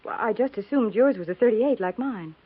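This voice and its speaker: An American actress playing a practical, seasoned frontier army wife, neighborly but grave, speaking in a measured, matter-of-fact way in a 1950s radio drama. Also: female voice, fairly high pitch, expressive, husky. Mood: awkward, kindly. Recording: tinny, narrow-band 1950s radio recording.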